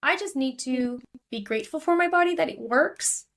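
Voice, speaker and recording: Argentinian-accented woman guest, loose chatty delivery, higher pitch. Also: animated, moderate pace, a bit bright. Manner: chatty, many fillers and restarts. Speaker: podcast guest. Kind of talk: casual interview talk.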